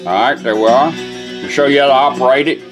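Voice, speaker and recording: A man's voice: mid-range voice, fairly even intonation, brisk, over music. A man giving a casual, plainspoken hands-on product demonstration in a folksy way, taking it step by step. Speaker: presenter demonstrating a vintage truck radio. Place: inside a pickup truck cab.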